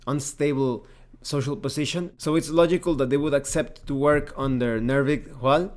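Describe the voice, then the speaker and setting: A man presenting a semi-scripted review of a tabletop game in a measured, explanatory and emphatic way. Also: mid-range voice, steady tone.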